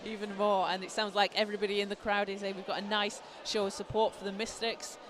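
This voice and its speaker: A man, hoarse from a cold, giving excited live play-by-play basketball commentary in an indoor arena. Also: high voice, fast. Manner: excited, shouting calls on big plays. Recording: clear arena noise behind.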